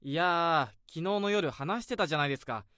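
An actor playing a polite, easygoing young junior coworker in a radio drama. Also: male voice, fairly high pitch, animated, a little fast, pushed and bright, no echo, studio quality. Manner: polite, easygoing, slightly casual speech. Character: friendly polite young male office junior.

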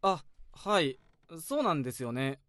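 An actor playing a polite, easygoing young junior coworker in a radio drama. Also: male voice, a little high, sing-song, moderate pace, fairly bright tone, no room sound, clean studio sound. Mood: chatty. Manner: polite, easygoing, slightly casual speech. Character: friendly polite young male office junior.

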